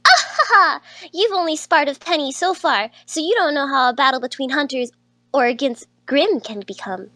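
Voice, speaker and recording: A woman's voice: high voice, lively intonation, normal speed, pushed and bright, dry recording. A woman voicing a confident, playful young female student in a visual novel. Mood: teasing. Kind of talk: character dialogue in a visual novel reading.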